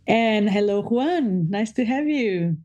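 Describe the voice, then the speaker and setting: A woman from Portugal, hosting a podcast interview over a remote call, friendly and quick to laugh. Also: medium pitch, expressive, muffled recording. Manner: friendly, laughs often, warm welcomes. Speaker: podcast host. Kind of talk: scripted intro then casual interview.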